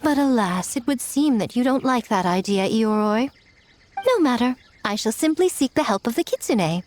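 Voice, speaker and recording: A woman's voice: a little high, lively intonation, moderate pace, a little mellow, natural voice. A woman voicing a sly, playful young kitsune in a video game, polite and sweetly teasing. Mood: slyly goading. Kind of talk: animated video game voice acting.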